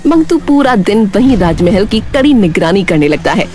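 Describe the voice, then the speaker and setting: Lively woman narrating a story. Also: mid-range voice, moderate pace. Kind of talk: scripted story narration in Hindi. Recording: faint music behind the voice.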